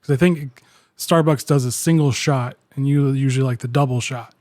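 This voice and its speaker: A man's voice: medium pitch, steady tone, normal speed, darkish tone, dry recording, studio quality. A man hosting a podcast, introducing a guest and asking friendly, conversational questions. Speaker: podcast host.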